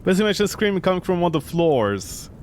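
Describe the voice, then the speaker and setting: A man voicing a calm male private investigator in a visual novel, measured and questioning. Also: high voice, slight background noise. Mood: calm, probing.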